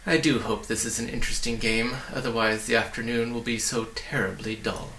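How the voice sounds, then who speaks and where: Man reading as a musing, wistful boy, bright-voiced. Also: medium pitch, steady tone, brisk, roomy sound, slight background noise, low-quality recording. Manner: musing, wondering, polite. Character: bored, wistful, puzzled young boy on an adventure. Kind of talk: storybook character reading.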